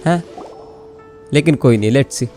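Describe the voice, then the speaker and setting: A man's voice: medium pitch, racing, darkish tone. A young Indian man, a native Hindi speaker, doing casual gameplay commentary and slipping between Hindi and English. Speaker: gaming video host. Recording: faint game music behind.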